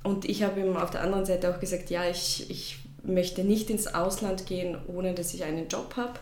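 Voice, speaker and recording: A woman's voice: lowish voice, fairly even intonation, moderate pace, darkish tone. Reflective woman from Russia, echoey room. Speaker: podcast guest, shop founder. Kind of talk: personal storytelling in an interview. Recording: roomy echo, faint background noise.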